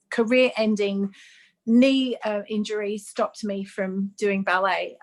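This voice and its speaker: A woman in her fifties, born in Sweden and raised in Australia. She talks as a guest in a casual interview, chatty and earnest, with lots of ums. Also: medium pitch. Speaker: guest, makeup artist.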